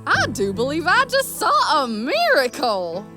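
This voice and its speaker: A woman's voice: high voice, wildly swooping pitch, measured pace, heavily acted. An actress playing a plucky, honest lady sharpshooter in an Old West audio drama, with folksy delivery. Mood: amazed. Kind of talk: musical western audio drama acting. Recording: loud music behind.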